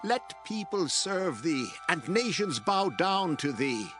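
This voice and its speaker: A man's voice: fairly high pitch, big pitch swings, slow, music in the background. An actor playing an aged, solemn patriarch father in a dramatized scripture reading, speaking with emotion. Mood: solemn blessing. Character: aged patriarch father, solemn, trembling, blessing his son.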